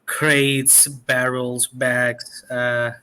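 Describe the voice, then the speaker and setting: A man narrating a tabletop game as game master, slow and halting with many fillers. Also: mid-range voice, little pitch movement, pushed and bright, clean tone.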